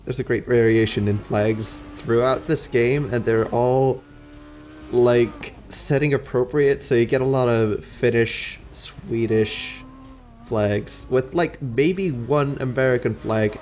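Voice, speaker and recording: A man's voice: medium pitch, slow pace, a little mellow, faint music behind. A man giving casual, conversational commentary over video game play. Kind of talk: casual gameplay commentary chat.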